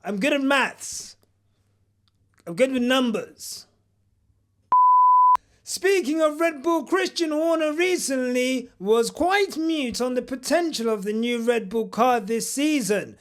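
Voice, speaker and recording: A man's voice: very high voice, animated, slow pace. A man hosting a parody news segment, delivering it in a mock-pompous, boastful way with jokey asides. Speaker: comedic news host.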